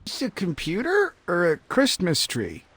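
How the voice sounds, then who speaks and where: A man voicing an excitable, fussy scientist in a cartoon game, expressive and wordy, with a husky, creaky voice. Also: high voice, normal speed, no echo, full character voice. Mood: curious, amused. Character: excitable, fussy scientist, eager and easily appalled. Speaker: voice actor in a game cutscene. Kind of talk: animated cartoon game acting.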